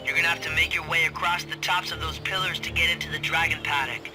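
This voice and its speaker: A man's voice: fairly high pitch, flat delivery, fast talker, natural voice. An actor playing a brusque, sarcastic young tough guy in a video game cutscene, short on patience. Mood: impatient, sarcastic. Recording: loud music under the voice.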